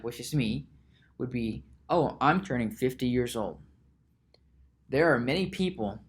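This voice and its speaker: A man hosting a solo podcast, musing slowly aloud with frequent restarts and repeated words. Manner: reads search results aloud in a low mutter. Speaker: podcast host.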